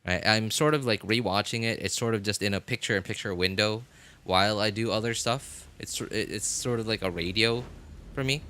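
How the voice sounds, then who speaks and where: A man chatting casually as a game livestreamer, rambling and halting as he thinks aloud. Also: lowish voice, pushed and bright. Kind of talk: casual chat to viewers while gaming. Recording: music playing behind the voice. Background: background music.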